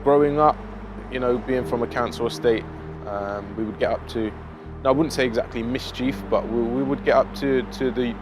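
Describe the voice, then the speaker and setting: A young man with a South East London accent tells his life story in a casual, reflective monologue, with measured and hesitant phrasing. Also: mid-range voice. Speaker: vlogger telling own life story. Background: background music.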